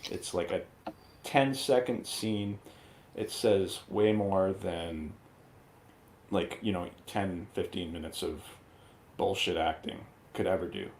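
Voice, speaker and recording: A man's voice: low voice, takes it slowly, a little mellow, slight background noise. A man on a radio movie review panel, chatting casually and giving opinions in a rambling, profane, conversational way. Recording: roomy, echoey sound.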